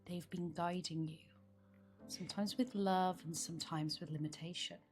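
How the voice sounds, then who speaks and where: A woman guiding a meditation in a soft, soothing manner, with phrases often trailing into breathiness. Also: lowish voice, fairly even intonation, normal speed. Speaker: meditation guide. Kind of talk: guided meditation. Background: background meditation music.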